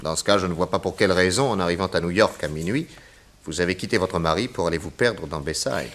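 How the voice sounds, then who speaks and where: An actor playing a skeptical private detective who questions courteously and insistently in a French radio drama. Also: male voice, deep voice, fast, fairly bright tone.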